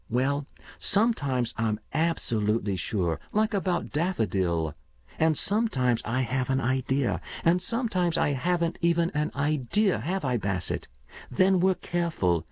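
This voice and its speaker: An eager, anxious young boy, as a man puts him on in a storybook reading, raised well above the reader's own pitch. He speaks earnestly and pleadingly, with a lively, rising lilt and a slow, careful pace. The sound is dull and dry, like a lo-fi home recording. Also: gravelly, no echo, heavily acted.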